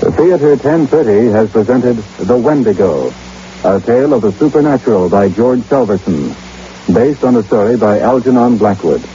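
A man's voice: medium pitch, lively intonation, normal speed, warm tone. A man as a radio announcer, formally reading credits in an old-time broadcast style. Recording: muffled old radio recording, faint hiss.

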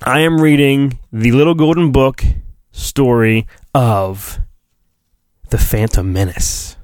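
A man's voice: mid-range voice, slow, darkish tone, no echo. Self-deprecating man rambling, dry close sound. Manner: self-deprecating, joking, profane. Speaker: podcast host reading aloud. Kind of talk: casual rambling solo podcast talk with mock dramatic storybook reading.

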